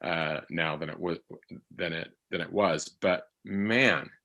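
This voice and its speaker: A middle-aged man talking reflectively in conversation, halting often as he searches for his words. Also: low-pitched, gravelly, bright, forceful tone. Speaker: podcast actor and guest.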